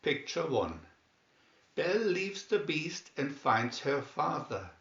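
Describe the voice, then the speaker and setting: Guy reading out storybook captions real slow and deliberate, kinda high voice, roomy sound. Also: sing-song, vocal fry, a bit bright, lo-fi sound. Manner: deliberate, announcing each caption. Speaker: narrator reading a picture-reel booklet. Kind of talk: scripted storybook narration.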